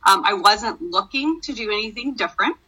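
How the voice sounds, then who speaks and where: A woman's voice: medium pitch, lively intonation, a little fast, pushed and bright, husky, highs cut off. A woman in her forties, talking eagerly and casually as an interview guest, with frequent ums and likes. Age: 40s. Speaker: guest, network marketer.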